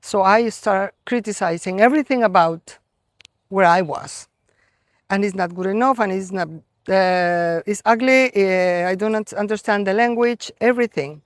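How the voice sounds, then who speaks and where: Colombian lady in her middle years talking to a group, earnest, lots of uh and pauses, mid pitch. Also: no echo, studio quality. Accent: Colombian Spanish. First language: Spanish. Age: middle-aged. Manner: reflective, earnest, with many pauses and fillers. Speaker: gathering organizer. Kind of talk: spiritual talk to a group.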